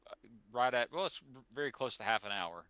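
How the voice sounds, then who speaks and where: Very bright, sharp-voiced man, dry sound. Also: mid-range voice, expressive, clean tone, no echo. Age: middle-aged or older. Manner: halting, repeats words. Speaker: podcast co-host. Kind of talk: casual group chat.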